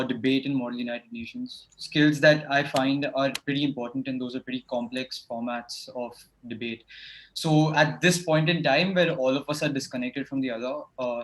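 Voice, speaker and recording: Teen boy panelist, earnest and formal, mid-pitched voice. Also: a bit bright, rough recording. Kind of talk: video call panel answer. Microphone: video call.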